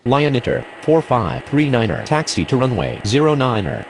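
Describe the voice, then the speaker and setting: A man's voice: mid-range voice, big pitch swings, moderate pace, creaky, a little hiss or hum. A man playing a businesslike pilot and controller in a game, speaking clipped radio phraseology. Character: brisk, businesslike male airline pilot and air traffic controller.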